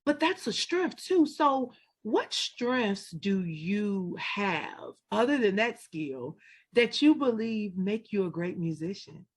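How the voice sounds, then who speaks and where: Slow-talking breathy woman host. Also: medium pitch, sing-song. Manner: encouraging, addresses viewers. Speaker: interview host. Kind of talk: live-stream interview.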